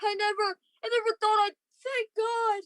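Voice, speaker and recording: A woman's voice: very high voice, flat delivery, normal speed, noisy background. A woman acting an emotional, eager young male space lieutenant in a radio play, with an earnest, pleading manner. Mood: overwhelmed, excited. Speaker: actor in a student radio play.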